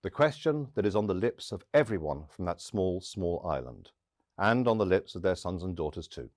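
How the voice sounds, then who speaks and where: A low-pitched man performs a spoken monologue in a storyteller's style. He leans on pauses and acts out bits of dialogue. The recording is close and dry. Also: very animated, a little mellow, no echo. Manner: dramatic pauses and acted-out dialogue. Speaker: storyteller and podcast host. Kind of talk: theatrical first-person storytelling monologue.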